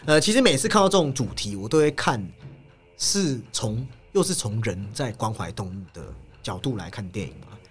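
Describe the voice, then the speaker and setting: A man's voice: medium pitch, very animated, normal speed, husky, faint music behind. A man hosting a film discussion, explaining and analyzing in an explanatory way, often repeating words and phrases. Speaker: film podcast host.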